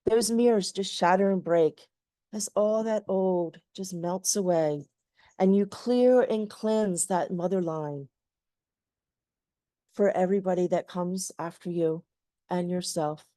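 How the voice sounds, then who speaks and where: A woman leading a guided meditation in a soothing, gentle voice with lulling phrases. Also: on the low side, slow pace, no echo.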